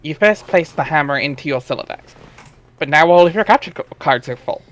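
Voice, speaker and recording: A man with a slightly high voice narrates a story aloud on a headset mic. His delivery is informal, slipping between reading and casual chat with friends. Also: animated, fairly quick, fairly bright tone. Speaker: narrator of a webcomic dramatic reading.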